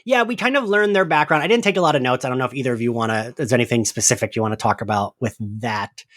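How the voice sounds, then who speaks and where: A man's voice: mid-range voice, fast talker, fairly bright tone. A man hosting a podcast, chatting casually in a rambling, giggly way full of filler words. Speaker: podcast host. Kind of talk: casual chatty recap discussion.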